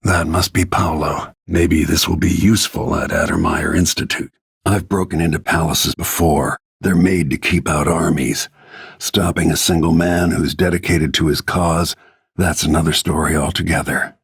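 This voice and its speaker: A man voicing a grim, battle-worn middle-aged royal protector and assassin in a video game, with terse, brooding, measured delivery and a gravelly, husky voice. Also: a bass voice, steady tone, dry recording, studio quality, full character voice. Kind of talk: scripted video game character lines.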